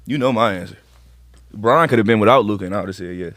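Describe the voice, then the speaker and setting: A man's voice: fairly low pitch, fast, fairly bright tone, no room sound, studio quality. An American man co-hosting a podcast, debating sports casually in an emphatic, slangy, opinionated way. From Akron, Ohio, United States. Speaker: podcast co-host.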